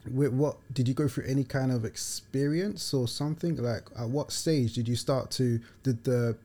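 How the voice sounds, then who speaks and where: A man's voice: medium pitch, moderate pace, warm tone. A man hosting an interview, asking long, casual questions in informal phrasing. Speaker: interviewer, host.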